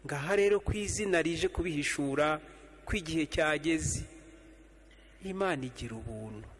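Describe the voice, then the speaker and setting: A middle-aged Rwandan man, a priest, giving a measured homily at evening Mass from behind the altar, repeating slow refrains. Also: a little high, a little hiss or hum. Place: church sanctuary, standing behind an altar. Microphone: stand mic on the altar, fixed camera. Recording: long church reverb.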